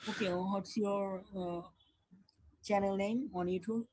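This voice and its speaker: A woman's voice: medium pitch, steady tone, slow pace, dark tone, no room sound, poor audio. A woman calling into a live online discussion, speaking hesitantly and searching for words.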